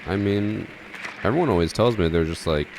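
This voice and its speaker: A man streaming a shooter game, chatting calmly with his viewers and commenting on his play in an unexcited way. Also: lowish voice, a little fast, vocal fry, noisy background. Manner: calm, unexcited. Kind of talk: casual gameplay commentary with chat. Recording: game gunfire audible behind voice.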